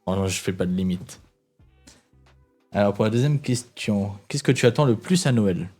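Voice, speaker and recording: A man's voice: fairly low pitch, expressive, moderate pace, darkish tone, faint music behind. A man in his twenties co-hosting a casual live chat in a joking manner. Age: 20s. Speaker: co-host.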